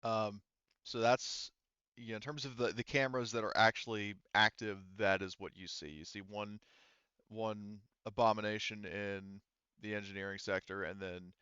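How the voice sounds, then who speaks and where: A low-voiced man acts as game master, explaining scenes and rules casually. He pauses to think aloud. His voice is bright, and the dry recording is a little dull and lo-fi. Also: clear, resonant tone, dry recording. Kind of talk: narrating an online tabletop roleplaying game.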